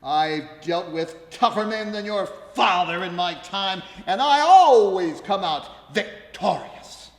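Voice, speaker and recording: A man's voice: high-pitched, expressive, full character voice. A man playing a smug, pompous suitor in a comic radio play, condescending and grandly theatrical. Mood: grandiose, gallant. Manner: pompous, drawn-out delivery. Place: live on stage in a ballroom. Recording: echoey ballroom hall, live stage.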